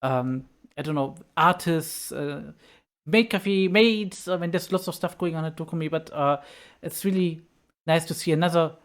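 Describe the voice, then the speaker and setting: A friendly woman interviews a guest for a convention, enthusiastic and quick to laugh. Her voice sits a little low for a woman. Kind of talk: casual interview chat.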